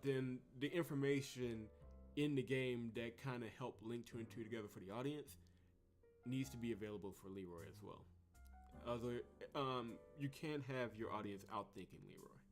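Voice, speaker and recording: A man giving casual, animated commentary as he plays a game, thinking aloud. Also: mid-range voice, normal speed. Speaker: gamer doing a blind demo playthrough. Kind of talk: game commentary mixed with reading dialogue aloud. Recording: music behind the voice. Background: video game music.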